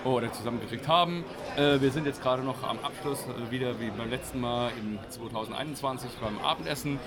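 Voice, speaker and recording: Male event organiser, medium pitch, informal interview talk, noisy crowd in background. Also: fast talker. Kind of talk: casual, jokey interview chat. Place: busy audio drama convention. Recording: busy convention crowd behind voice.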